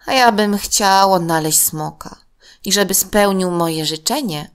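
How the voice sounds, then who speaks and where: A woman's voice: medium pitch, a little slow, smooth, natural voice. A woman voicing a friendly, wishful young boy in a children's story, speaking earnestly. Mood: hopeful.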